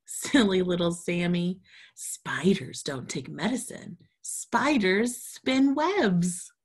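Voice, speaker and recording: A woman voicing a fond, patient mother spider who gently teases and explains, in a children's storybook. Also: lowish voice, expressive, slow pace, slightly rough, exaggerated voice. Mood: explaining. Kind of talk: children's storybook read-aloud.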